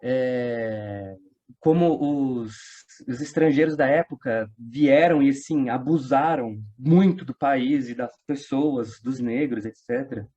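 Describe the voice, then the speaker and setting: A man's voice: medium pitch, slow pace, lo-fi sound. A Brazilian man chatting casually as an interview guest, telling stories in slangy speech and acting out what people said. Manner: animated storytelling, acting out quoted remarks in slangy speech. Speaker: guest, audio drama writer and voice-dubbing worker.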